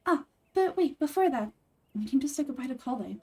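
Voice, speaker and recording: A woman voicing a small, chirpy, excitable childlike fairy companion in a video game, with a high squeaky voice. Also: a little fast, breathy edge, exaggerated voice. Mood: bright, eager. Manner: excitable, perky.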